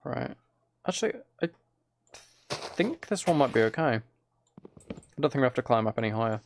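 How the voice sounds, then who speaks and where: Tired, quiet, gravelly man. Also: medium pitch, lively intonation, moderate pace, slight background noise. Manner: quiet, mumbling, rambling. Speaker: video game livestreamer. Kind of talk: casual gaming stream chat, ASMR.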